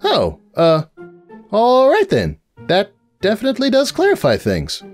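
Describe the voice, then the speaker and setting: A man's voice: sits high, wildly swooping pitch, unhurried, faint music behind, pitched above the performer's own voice, full character voice. A man voicing an undead young female pony, earnest, upbeat and awkward, in a cartoon story reading. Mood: awkward, uneasy.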